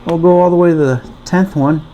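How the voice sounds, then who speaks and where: A man casually demonstrating a product as a reviewer, thinking aloud in a halting way. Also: on the high side, fairly even intonation, dark tone, a little hiss or hum, low-quality recording. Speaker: radio reviewer.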